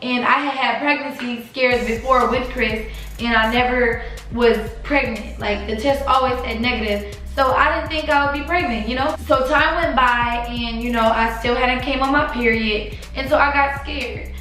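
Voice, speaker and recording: A woman's voice: on the high side, fairly even intonation, normal speed, fairly bright tone, heavy reverb, faint music behind, low-quality recording. Sleepy young woman telling a story. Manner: chatty, confiding, addresses viewers as y'all. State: sleepy late-night voice. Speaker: vlogger. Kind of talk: casual storytime.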